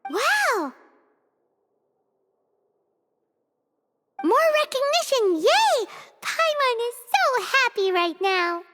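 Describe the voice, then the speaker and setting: An excitable little fairy companion from an anime game, with an extremely high, squeaky childlike voice. The delivery is exclamatory and wildly animated, drawn out at an unhurried pace. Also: female voice, no echo, clean studio sound, faint music behind, full character voice. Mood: delighted. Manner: squeaky, exclamatory, refers to self in third person. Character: tiny excitable floating fairy companion, childlike, speaks in third person. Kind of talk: anime video game voice acting.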